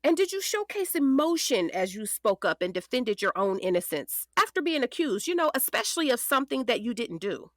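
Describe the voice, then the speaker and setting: A determined female defense lawyer in a courtroom drama. Her voice is fairly high and very animated, in a dry, close recording. She questions with pointed, composed emphasis. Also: no echo. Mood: persuasive. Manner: deliberate, emphatic cross-examining. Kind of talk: courtroom audio drama acting.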